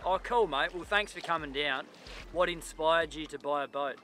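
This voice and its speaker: A man's voice: a little high, normal speed, pushed and bright. An Australian man in his thirties, casually interviewing someone as host, out on a boat. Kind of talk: casual chat. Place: outdoors on a sailboat at sea. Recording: clearly noisy outdoor boat audio.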